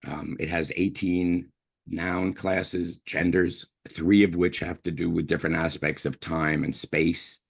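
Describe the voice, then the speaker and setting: Very deep gravelly older male lecturer. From Brooklyn, New York. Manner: chatty, digressive, frequent throat clearing and fillers. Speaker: linguistics professor giving a lecture. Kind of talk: university guest lecture.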